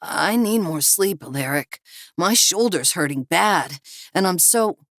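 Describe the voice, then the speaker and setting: A woman's voice: fairly low pitch, extremely slow, fairly bright tone, no room sound, studio quality, voice pushed up, heavily acted. A woman voicing a frightened younger man in an audiobook, stammering and pleading in a whining way. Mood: groggy, confused.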